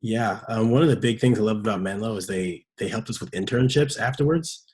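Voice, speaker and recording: A man in his late twenties with a fairly low voice, speaking as a podcast guest. He sounds relaxed and conversational. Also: fairly quick. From Seattle. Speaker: guest, startup operations manager. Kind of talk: podcast interview.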